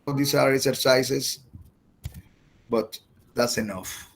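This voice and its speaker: A man who speaks Spanish as his first language, teaching an English grammar class online, halting and repeating his words as he explains. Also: medium pitch, fairly even intonation, vocal fry.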